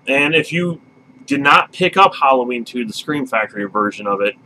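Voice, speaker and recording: A man's voice: medium pitch, expressive, moderate pace, bright, forceful tone, low-quality recording. A man co-hosting a casual home-video review show, talking with emphatic enthusiasm. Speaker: movie review show host.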